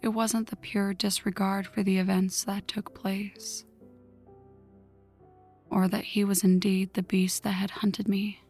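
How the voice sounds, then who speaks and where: Slow, hushed vampire woman, acted by a woman. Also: medium pitch. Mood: brooding, haunted. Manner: hushed, breathy, slow dramatic delivery. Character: newly turned vampire woman, vengeful, seething. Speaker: audio roleplay narrator. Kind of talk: ASMR scripted first-person story narration. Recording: music under the voice. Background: background music.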